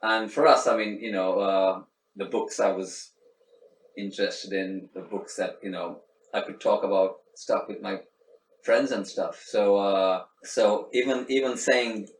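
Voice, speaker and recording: A man's voice: on the low side, fairly even intonation, unhurried. A middle-aged man from Sri Lanka, talking in a reflective, casual interview with many restarts and fillers. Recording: echoey room, faint background noise, mic at a distance.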